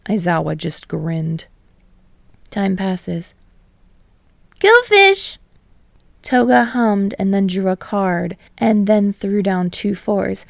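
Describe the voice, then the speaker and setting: Slow, creaky-voiced woman narrating a story. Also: mid-range voice, steady tone, a little mellow, dry recording. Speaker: podfic narrator and channel host.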